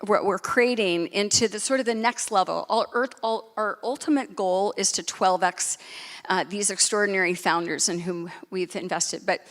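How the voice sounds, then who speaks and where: A woman gives an earnest welcome speech as co-host of a live event. Her phrasing halts and repeats, at a middling pitch. Long hall reverb surrounds her voice. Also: normal speed, fairly bright tone. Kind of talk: spoken welcome speech on stage. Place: large reverberant venue.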